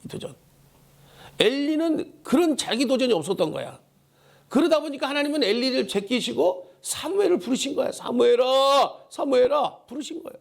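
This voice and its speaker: Hoarse, high-pitched male preacher. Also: very animated, normal speed. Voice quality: hoarse, worn voice. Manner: emphatic, exhorting, repeats key phrases. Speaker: pastor preaching a Sunday sermon. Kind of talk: Korean church sermon with call-and-response prompts. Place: church service.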